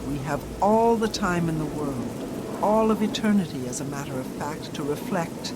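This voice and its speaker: An actress playing a damned woman's soul who speaks gravely and reflectively about eternity. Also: low-pitched, heavy background noise. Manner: measured, grave delivery. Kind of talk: game character dialogue. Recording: loud rain and thunder behind.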